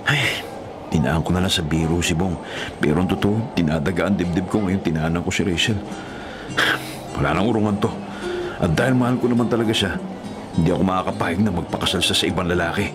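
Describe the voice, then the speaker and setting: A man's voice: deep voice, fairly quick, music in the background, lightly acted. A man acting in a radio drama as a poor, lovestruck gardener, playful and humble yet earnest. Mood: earnest resolve. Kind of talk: Tagalog radio drama acting with inner monologue.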